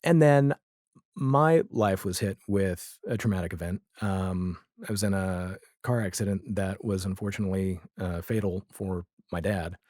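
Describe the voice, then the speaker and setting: A man speaking as a podcast guest in a casual, reflective conversation, with halting, thoughtful phrasing. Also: deep voice, a little mellow, no echo, studio quality.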